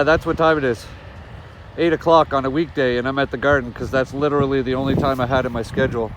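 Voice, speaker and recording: A man's voice: medium pitch, a little hiss or hum. Sniffly man touring his garden. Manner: rambling, with frequent fillers and restarts. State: sniffly from a cold. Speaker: gardening vlogger. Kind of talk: casual walk-through narration. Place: outdoor garden plot in the evening.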